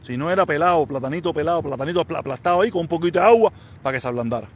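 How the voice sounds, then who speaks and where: A man's voice: a little high, expressive, very fast, slight background noise. A man in his forties from Havana, speaking with a Cuban accent, chatting casually and rambling on a live stream, repeating himself and answering viewer comments. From Cuba. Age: 40s.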